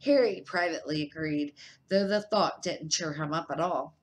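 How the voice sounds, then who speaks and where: A middle-aged woman reading a children's novel aloud as an amateur, slightly halting and correcting her words as she goes. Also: deep voice. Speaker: amateur storybook reader.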